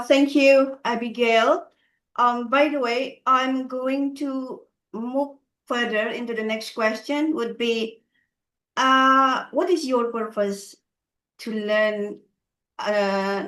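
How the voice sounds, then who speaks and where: A woman hosting a casual video call interview, asking questions in a halting way with lots of fillers and restarts. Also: fairly high pitch, steady tone, reverberant. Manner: halting, many fillers and restarts, warm praise. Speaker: host.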